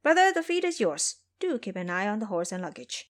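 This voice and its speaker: A woman voicing a brash, fiery monkey warrior in an audio drama, with a taunting, forceful manner. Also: medium pitch, big pitch swings, fairly quick, lightly acted. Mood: eager, brisk.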